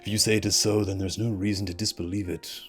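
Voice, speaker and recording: A man voicing a solemn, learned fantasy game scholar in a formal, measured, grave manner. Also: deep voice, flat delivery, fairly bright tone. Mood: solemn, resolute. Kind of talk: reading fantasy game dialogue aloud. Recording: game music behind the voice.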